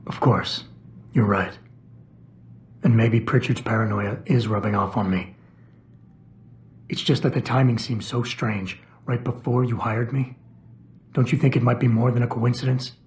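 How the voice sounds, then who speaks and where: An actor playing a stoic, probing ex-cop security chief in a game, with a hushed gravelly voice, terse and deadpan. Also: male voice, mid-range voice, a little slow, pauses often, natural voice. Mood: guarded, insistent. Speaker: video game protagonist. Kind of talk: video game cutscene acting. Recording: steady background ambience noise.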